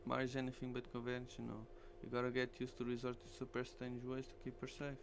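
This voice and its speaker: A man's voice: medium pitch, monotone, fast, faint music behind, lightly acted. A man playing a casual, loyal, defensive streetwise character in a visual novel. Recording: clearly noisy stream with hum.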